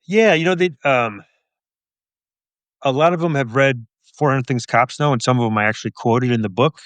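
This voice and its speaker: A man's voice: medium pitch, moderate pace, clean tone, dry recording. A middle-aged man, a police officer and author, answering casually as an interview guest in a thoughtful, wry, self-deprecating way.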